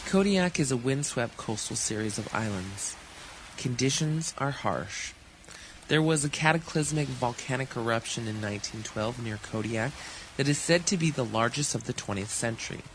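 A man narrates a documentary from a script with steady, measured delivery. His pitch sits in a middle range. A faint hiss runs under the voice. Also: low-quality recording.

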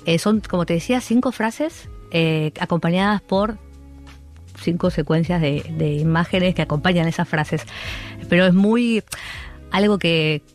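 An Argentine woman with a Rioplatense accent talks in a low voice, pausing often, restarting her sentences and leaning on fillers. A faint music bed sits under her. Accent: Rioplatense Spanish. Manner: hesitant, many fillers and restarts. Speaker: art curator guest. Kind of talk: casual interview conversation.

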